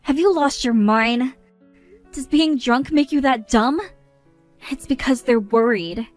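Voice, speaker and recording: A woman's voice: high-pitched, slow, faint music behind. A woman voicing an exasperated young bride who scolds others in an indignant tone.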